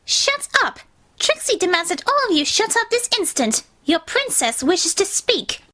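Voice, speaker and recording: A woman's voice: very high-pitched, sing-song, unhurried, bright, forceful tone, full character voice. A woman voicing a vain, bratty young pony princess with an imperious, boastful manner in a cartoon fan fiction reading. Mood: indignant, boastful.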